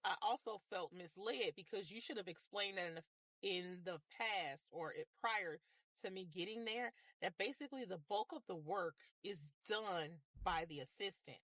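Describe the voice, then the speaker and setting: A woman from the United States recounting a complaint as a measured personal story. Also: mid-range voice, a bit bright, dry recording, rough recording. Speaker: customer telling a salon story.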